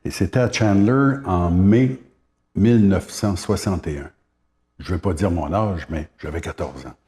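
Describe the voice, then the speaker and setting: A man's voice: low-pitched, measured pace, dark tone. A man in his seventies from Quebec's Gaspé Peninsula with a Québécois French accent, a singer-songwriter chatting as a guest and reminiscing unhurriedly with many hesitations. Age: 70s. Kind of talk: casual interview chat.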